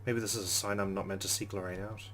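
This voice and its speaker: Male let's play host, low voice, rapid pace, reading story narration with commentary. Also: little pitch movement.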